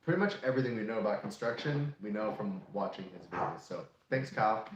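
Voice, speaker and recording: A young man in his late twenties, chatting through a casual live Q&A. His voice sits fairly low and he talks in an easy, practical way. The room around him gives back a clear echo. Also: fast, highs cut off. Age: 20s. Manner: relaxed, practical, explains at length. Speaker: co-host of a homesteading channel. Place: room in an off-grid shop. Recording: echoey room.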